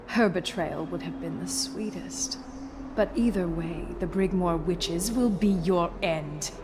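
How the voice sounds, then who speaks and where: An actress voicing a cruel, scornful female witch leader who speaks slowly and threateningly in a game cutscene. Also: fairly low pitch, noisy background, close to an everyday voice. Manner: slow, contemptuous and threatening. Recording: game music behind.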